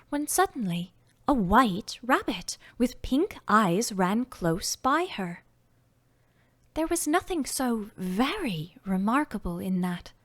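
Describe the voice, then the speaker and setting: A woman reading a children's classic aloud as an audiobook narrator, unhurried and expressive, often dropping into a soft whisper. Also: a little high. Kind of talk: scripted storybook narration.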